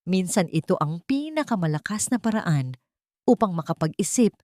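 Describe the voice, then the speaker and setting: Woman narrator doing a kind calm filipino guy in a story, soft-spoken, soothing, dry sound. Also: medium pitch, normal speed, dry recording, lightly acted. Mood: calm, wise. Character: gentle, concerned male stranger, calming. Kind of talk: dramatic storytelling dialogue.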